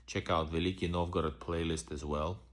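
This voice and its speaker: A man's voice: low voice, fairly even intonation, a little fast. A man hosting a casual live-stream Q&A as a vlogger, rambling loosely with many restarts and fillers. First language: Russian. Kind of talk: casual live-stream chat answering comments.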